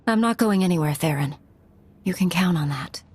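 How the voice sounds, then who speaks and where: An actress playing a young, steadfast Jedi knight in a video game, speaking in a composed, direct way. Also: female voice, lowish voice, animated, normal speed, slightly rough, no room sound. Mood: steady, warm. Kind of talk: video game dialogue acting. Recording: loud soundtrack music under dialogue.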